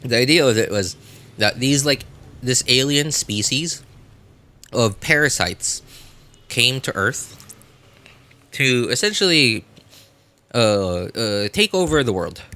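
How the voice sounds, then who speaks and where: A man's voice: medium pitch, lively intonation, takes it slowly, pauses often, pushed and bright. A man chatting casually as a game livestreamer, hesitant and searching for words, often repeating himself. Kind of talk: casual rambling livestream chat.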